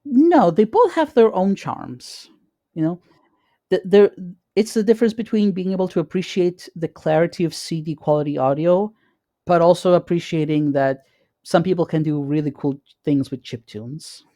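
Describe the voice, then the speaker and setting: A man co-hosting a podcast, chatting informally and haltingly, often repeating words as he goes. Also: high voice, warm tone, no room sound, clean studio sound. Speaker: podcast co-host. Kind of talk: casual chat.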